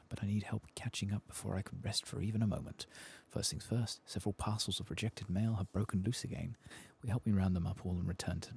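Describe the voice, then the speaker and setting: A man acts out a harried fantasy-game postmaster, overworked and pleading for help. The voice is low and very husky, with a gravelly crackle, and hurries along softly. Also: exaggerated voice. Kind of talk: reading game quest dialogue aloud.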